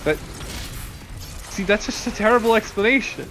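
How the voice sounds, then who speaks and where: A man's voice: sits high, normal speed, background noise. A man in casual banter as a let's play co-commentator, teasing and sarcastic, with some swearing. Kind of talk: casual banter over gameplay.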